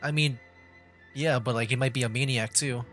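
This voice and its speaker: A man streams a video game, commenting casually as he plays. His voice is mid-pitched, with faint music underneath. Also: steady tone, normal speed, fairly bright tone. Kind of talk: casual livestream gameplay commentary.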